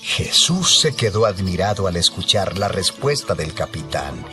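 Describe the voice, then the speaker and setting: A man narrates a dramatized Bible story in a measured way. His voice sits fairly low and moves expressively. Music is clearly heard behind him. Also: sing-song.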